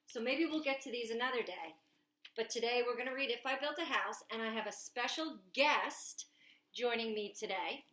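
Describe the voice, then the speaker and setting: A woman, a children's librarian, hosting a story time from a living room, talking to children in a slow, animated way. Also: a little high. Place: home living room with a couch. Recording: echoey room.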